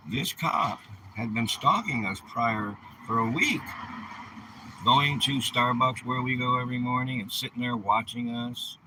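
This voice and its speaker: A man's voice: medium pitch, background noise. A man in his seventies delivering an aggrieved personal vlog monologue in an indignant, emphatic way. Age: 70s. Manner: indignant, emphatic, rhetorical questions. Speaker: vlogger.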